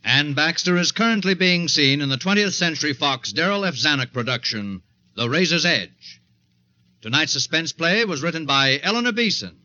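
A man's voice: on the high side, expressive, normal speed, sharp tone. A man reading scripted 1940s radio announcements in a formal, polished manner. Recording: dull 1940s radio recording.

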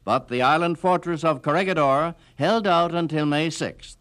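A man's voice: on the high side, moderate pace, fairly bright tone. A man delivering dramatic scripted historical narration as a documentary narrator.

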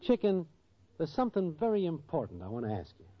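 Swooping, slangy 1940s radio schemer boyfriend. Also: male voice, a little high, wildly swooping pitch, quick pace. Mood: coaxing. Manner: slangy, mock-grand speechifying. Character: Irma's boyfriend, a shifty small-time schemer, slangy and grandiose. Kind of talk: 1940s radio comedy acting. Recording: muffled, noisy 1940s radio broadcast.

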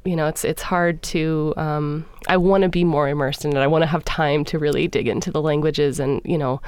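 A woman singer in a radio interview, speaking thoughtfully and pausing often to find her words. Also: deep voice, steady tone, darkish tone, clear, resonant tone, no echo. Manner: thoughtful, searching for words with many fillers. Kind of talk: interview conversation.

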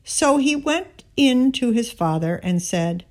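A woman giving a scripted Bible reading, narrating and voicing the dialogue in deliberate, measured phrasing. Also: on the high side, expressive, a little mellow. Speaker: scripture reader.

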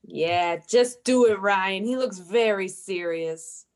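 A woman's voice: mid-range voice, slow pace. A woman playing a sardonic, unfazed office colleague in a comic radio play. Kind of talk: improv podcast radio play acting.

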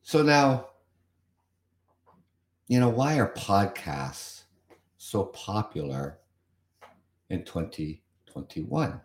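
Man, from canada, podcast host, deep voice, rambling commentary, echoey room. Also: big pitch swings, very slow, pauses often. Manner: chatty, repeats himself, comments on what he reads. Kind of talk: solo podcast talk reading an article.

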